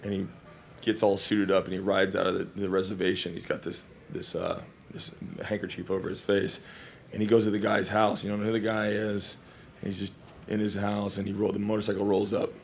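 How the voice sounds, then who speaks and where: A man from Hawaii, an actor, answering questions at a Q&A in loose, rambling, animated anecdotes. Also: lowish voice, brisk, gravelly, a little hiss or hum. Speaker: actor and film guest at a Q&A. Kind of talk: casual Q&A storytelling.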